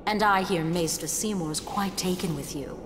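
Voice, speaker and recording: An actress playing a haughty, sneering summoner in a game, with a condescending, cutting, imperious manner. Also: female voice, lowish voice, moderate pace, noisy background, close to an everyday voice. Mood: scornful. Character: haughty, sneering female summoner, adult rival. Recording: hall reverb, game sounds and music behind.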